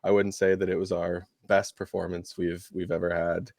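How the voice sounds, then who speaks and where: Low-voiced man chatting casually about sports. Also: flat delivery, normal speed, gravelly, a little mellow, no echo, studio quality. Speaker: podcast co-host. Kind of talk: casual sports chat, match recap.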